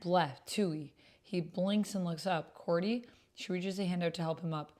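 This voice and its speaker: Casting: female roleplay host, lowish voice, expressive reader, informal commentary. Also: moderate pace. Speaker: solo tabletop roleplay player and host. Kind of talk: reading aloud text roleplay with casual commentary.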